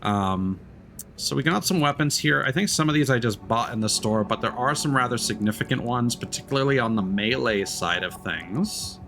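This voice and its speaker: A man's voice: medium pitch, expressive, normal speed, a bit bright. A man giving casual video game commentary as a streamer, talking in a reflective, meandering and hesitant way. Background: background music.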